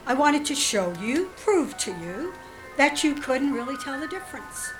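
A woman's voice: high voice, sing-song, over music, poor audio. An actress in an audio drama playing a genteel, coolly devious woman who feigns sweet innocence, speaking politely and hesitantly. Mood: apologetic, explaining. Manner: hesitant, polite, drawn-out delivery.

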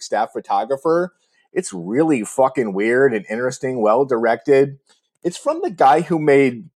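A man's voice: mid-range voice, darkish tone. A man co-hosting a casual podcast, rambling through movie recommendations with lots of swearing and restarts. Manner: rambling, profane, restarts often. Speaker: podcast co-host.

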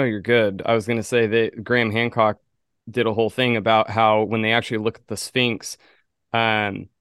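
A man's voice: on the low side, fairly bright tone, clear, resonant tone, no room sound, studio quality. A man co-hosting a podcast, explaining things in a casual conversational way. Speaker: podcast co-host.

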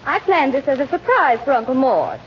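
A woman's voice: sits high, very animated, fairly quick. A woman playing a polite, eager young niece in 1940s radio comedy, girlish, on an old recording. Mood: polite, coaxing. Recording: dull, faintly noisy old radio recording.

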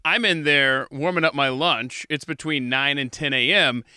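Bright, upbeat man interviewing. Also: on the high side, steady tone, normal speed, clean tone, dry recording, clean studio sound. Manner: upbeat, laughs often, repeats words. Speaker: podcast interviewer. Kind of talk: casual conversational interview.

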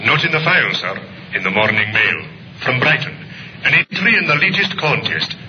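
An actor playing a police sergeant reporting by telephone in a radio drama, deferential and clipped, on an old recording. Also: male voice, medium pitch, big pitch swings, normal speed, lo-fi sound, heavy background noise. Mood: businesslike. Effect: distant telephone voice. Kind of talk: 1950s radio drama acting.

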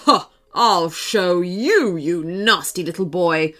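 A woman's voice: on the low side, bright, forceful tone. A woman voicing an evil chocolate dragon in a children's story, menacing and sneering as it draws out its words. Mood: threatening. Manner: menacing, sneering, drawn-out delivery.

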